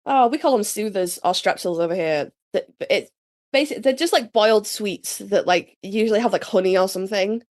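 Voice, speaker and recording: A woman co-hosting a podcast in casual chat, a little hoarse and nasal from being sick, telling stories in a chatty, swearing way. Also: medium pitch, brisk. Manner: chatty, swearing, animated storytelling. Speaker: podcast co-host.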